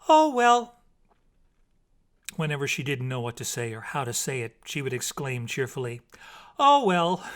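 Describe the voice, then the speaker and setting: A man reading a bedtime story as scripted narration, in an expressive, theatrical and deliberate manner. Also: mid-range voice. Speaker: storybook reader.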